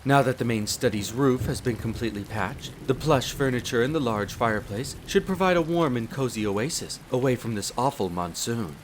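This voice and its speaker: A man voicing a courteous, devoted butler in an audio roleplay, speaking in a formal, polite and measured way straight to the listener. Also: mid-range voice, lightly acted. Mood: courteous, attentive. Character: courteous, devoted demi-human butler in a vampire's castle. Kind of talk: scripted roleplay addressed to the listener. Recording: rain noise behind the voice. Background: steady rain of a storm.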